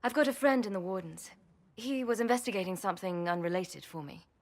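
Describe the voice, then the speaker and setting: A woman's voice: medium pitch, brisk, close to an everyday voice. A woman playing a world-weary champion in a video game, speaking in a dry, reflective manner. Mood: serious. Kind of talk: video game cutscene acting.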